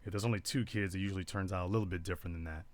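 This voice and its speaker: A man's voice: sits low, fairly even intonation, rapid-fire. A man hosting a live call-in talk show, speaking in a blunt, lecturing way.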